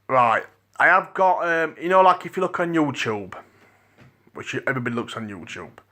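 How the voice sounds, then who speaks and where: A man with a Liverpool accent hosting a rambling solo podcast, his voice dry and croaky, restarting his sentences again and again. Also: on the high side, sing-song, moderate pace, pushed and bright. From England. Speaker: podcast host.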